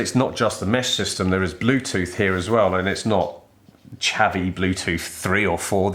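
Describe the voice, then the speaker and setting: A middle-aged British man giving an informal product review to camera in a chatty, irreverent way with casual slang. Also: mid-range voice. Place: indoor studio set styled as a workshop.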